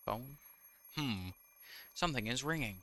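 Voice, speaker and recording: A man's voice: mid-range voice, expressive, a little slow, pushed and bright. A man reading visual novel text aloud with casual, wry commentary, sometimes stumbling over the words. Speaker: gaming YouTuber, host.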